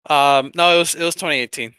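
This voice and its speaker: A man with a bright, crisp voice chats casually as a podcast co-host. The recording is dry and close, and his delivery is conversational and joking. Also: a little high, fast talker, dry recording. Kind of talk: casual banter.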